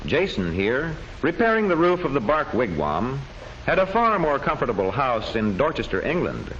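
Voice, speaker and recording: A man narrating an old educational history film from a script, in measured, declamatory storytelling phrases. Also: medium pitch, very animated, a bit bright. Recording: dull old film soundtrack with faint noise.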